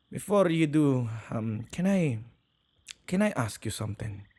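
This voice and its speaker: A man's voice: medium pitch, darkish tone. A man playing a shy, awkward, kind-hearted witch who tends ghosts, speaking hesitantly in an ASMR roleplay. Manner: hesitant, halting, stammering. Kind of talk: ASMR boyfriend roleplay, acted monologue.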